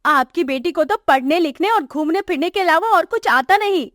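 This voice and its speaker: A woman's voice: very high voice, expressive, normal speed, a bit bright, no room sound, clean studio sound. A woman voicing an arrogant young working daughter-in-law in a moral story, scornful and complaining. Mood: contemptuous, irritated. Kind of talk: acted moral story dialogue for animation.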